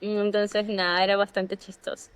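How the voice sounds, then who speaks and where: A woman's voice: medium pitch, normal speed, clean tone. Woman from Ecuador telling a story. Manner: animated anecdotes with fillers. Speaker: podcast co-host. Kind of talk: casual storytelling.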